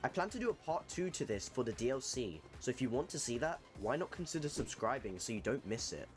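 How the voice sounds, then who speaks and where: A man's voice: mid-range voice, sing-song, fairly quick, soft background music. A man giving an upbeat, jokey introduction to a gameplay video. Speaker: gaming channel host. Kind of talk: casual gameplay voice-over.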